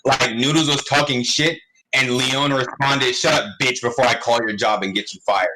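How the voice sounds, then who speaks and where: A man's voice: mid-range voice, fairly even intonation, normal speed, sharp tone. A man chatting casually on a recorded voice call. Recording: faintly noisy voice call recording.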